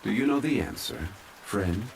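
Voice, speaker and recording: A man playing a calm, ancient, scholarly immortal in human form, speaking formally and with measured courtesy in a soft ASMR roleplay. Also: lowish voice, creaky, slight background noise, low-quality recording. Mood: measured, courteous. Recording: steady rain sound laid behind voice. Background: rain falling.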